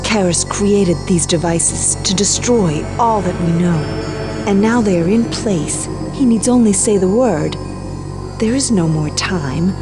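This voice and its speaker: An actress playing a grave, composed and mysterious woman ally in a video game, speaking in a measured, formal way. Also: mid-range voice, over music, natural voice. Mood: urgent. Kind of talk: video game cutscene acting.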